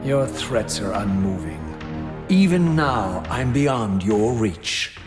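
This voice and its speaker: A man's voice: on the low side, expressive, slow, over music. A man voicing a brooding, defiant wraith antihero in a video game, with eloquent, sardonic, theatrical delivery. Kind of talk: dramatic video game narration and dialogue.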